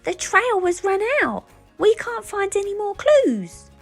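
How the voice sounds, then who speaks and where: A woman voicing small, excitable squirrels and rabbits for a children's storybook, squeaky and eager. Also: unhurried, creaky, faint music behind, heavily acted. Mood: worried, urgent. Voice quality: squeaky, high. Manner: eager, exclaiming.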